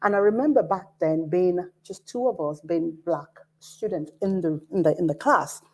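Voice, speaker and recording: A woman's voice: fairly low pitch, normal speed. A woman in her forties with a Nigerian accent, speaking as an academic director on a conference panel in an earnest, storytelling way.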